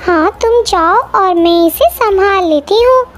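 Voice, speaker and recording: A woman's voice: extremely high pitch, expressive, unhurried, soft background music. A woman voicing a lazy, scheming crow wife who nags and taunts in a children's cartoon. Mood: coaxing. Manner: bossy, taunting. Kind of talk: Hindi children's cartoon acting.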